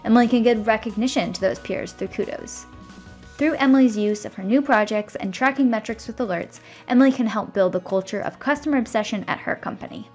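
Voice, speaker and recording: A woman reads a scripted product demo narration in a measured, explanatory way. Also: fairly high pitch, a little mellow. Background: background music.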